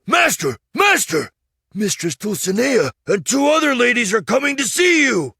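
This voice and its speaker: A man reading a storybook, voicing an excitable, anxious male squire in a high, husky put-on voice with eager, shouted pleas. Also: takes it slowly, dry recording, studio quality, heavily acted. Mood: excited pleading.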